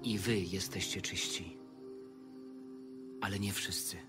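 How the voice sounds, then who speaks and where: An actor playing Jesus as a calm, grave teacher in a dramatized audio Bible, measured and solemn. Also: male voice, deep voice. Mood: solemn, gentle. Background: music.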